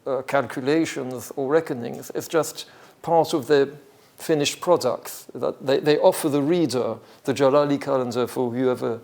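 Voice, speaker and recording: A male academic speaker with a strong gravelly creak and a middling pitch. He explains things off the cuff in a hesitant, halting way, thinking aloud as he lectures. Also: a little mellow. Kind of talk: academic talk with extempore explanation.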